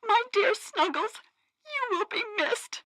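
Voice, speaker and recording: An actress playing an earnest, nature-loving radio news co-host in a satirical game, expressive and drily exasperated. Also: female voice, extremely high pitch, slow, no room sound, clean studio sound, heavily acted. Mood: heartbroken, outraged.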